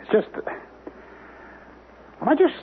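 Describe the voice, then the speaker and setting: An actor playing a brooding forty-two-year-old male writer who narrates his own story in a wry, musing way. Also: very slow. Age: 40s. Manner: wry, musing, drifting into gloom. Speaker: narrator and lead. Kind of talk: 1970s radio drama acting. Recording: tinny lo-fi old radio broadcast with faint hiss.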